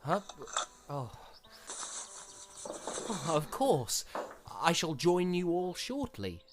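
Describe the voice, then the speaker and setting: An actor plays a young period gentleman, proud and passionate, in a formal theatrical audio drama style. He speaks slowly and deliberately at a medium pitch, with music underneath. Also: sing-song. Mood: flustered, polite. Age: young adult.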